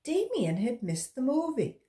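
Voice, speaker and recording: A woman reading a children's story aloud as a volunteer, in a deliberate storytelling style. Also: sits high, sing-song, warm tone.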